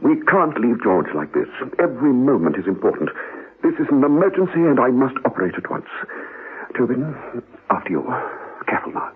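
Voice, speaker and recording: An actor playing a fussy, imperious cybernetic surgeon in a spy radio drama, grave and melodramatic in manner. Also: male voice, medium pitch, very animated, a little fast, slightly rough, phone-quality sound. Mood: urgent. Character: fussy, anguished male cybernetic surgeon, devoted to his computer patient. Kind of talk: 1960s-style spy radio drama acting. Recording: thin, noisy old radio recording.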